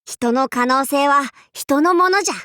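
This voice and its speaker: A woman's voice: high-pitched, normal speed, pushed and bright, no echo, clean studio sound. A woman playing a proud, boisterous warlord in an anime game, with pompous, playful, archaic lordly speech. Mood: haughty, musing. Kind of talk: anime game character voice lines.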